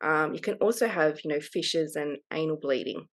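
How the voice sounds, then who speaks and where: Australian woman, 30s, lower register, frank and open interview guest. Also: a little fast. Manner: candid, open, laughs easily. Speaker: guest author. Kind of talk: casual interview conversation.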